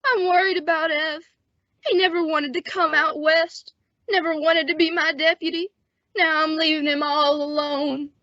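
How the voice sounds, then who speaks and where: A woman's voice: high voice, little pitch movement, moderate pace, bright, forceful tone, no room sound. A teenage woman playing a wounded, dying male sheriff in an old-time western radio drama, with a strained, folksy delivery. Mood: fading, worried. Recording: dull, dry lo-fi radio recording.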